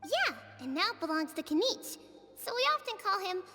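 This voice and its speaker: Casting: game child storyteller, very high bright voice, youthful, earnest, music behind. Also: female voice, sing-song, normal speed, big-room sound, heavily acted. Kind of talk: animated game voice acting, storytelling.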